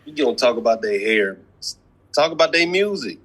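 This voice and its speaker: A man's voice: mid-range voice, very animated, fairly quick, fairly bright tone. A man co-hosting a reaction video, chatting casually in a blunt, colloquial way.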